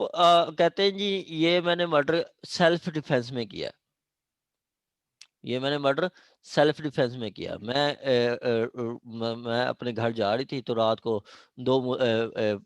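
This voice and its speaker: A man's voice: mid-range voice, no echo. A man with a Pakistani accent teaching law informally, hesitant and repetitive, mixing in English terms and often checking that students follow. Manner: mixes Urdu with English legal terms. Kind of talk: informal classroom lecture with questions to students.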